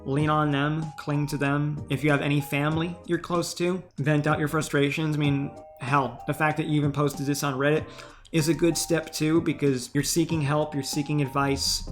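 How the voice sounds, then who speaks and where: A man with a voice a little higher than most, talking through a listener's problem in a casual, supportive way. He sounds earnest and encouraging, like a streamer reading and answering posts. Soft guitar music plays faintly underneath. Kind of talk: casual advice commentary.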